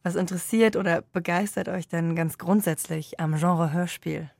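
A woman interviewer puts prepared questions in a fairly low voice. Her intonation stays flat and even, and the sound is dry with little room around it. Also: moderate pace, gravelly, dry recording.

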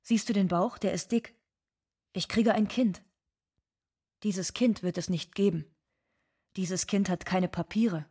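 A woman playing a stoic, bitter undocumented immigrant from Ecuador, speaking in deliberate, restrained radio drama monologue. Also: mid-range voice, dark tone, breathy edge. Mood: stoic, sad.

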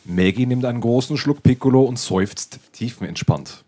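A man's voice: medium pitch, moderate pace, warm tone, a little hiss or hum. A man reading out the scripted stage directions of a radio play.

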